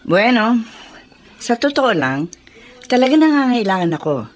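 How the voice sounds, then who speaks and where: An actress playing a stern, possessive restaurant boss in a Filipino radio drama, frank and authoritative. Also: female voice, on the high side, sing-song, a little slow, a little hiss or hum.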